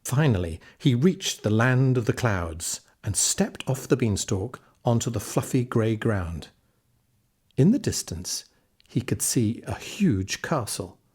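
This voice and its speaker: Husky male children's storyteller. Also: mid-range voice, very animated, slow, darkish tone. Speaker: audiobook narrator. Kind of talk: expressive scripted storytelling for children.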